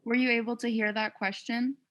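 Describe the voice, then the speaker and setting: A woman's voice: on the high side, level tone, fairly quick, a bit bright, lo-fi sound. A woman speaking casually and a little tentatively, feeling out her wording as she puts a question at an online event. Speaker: event participant asking a question.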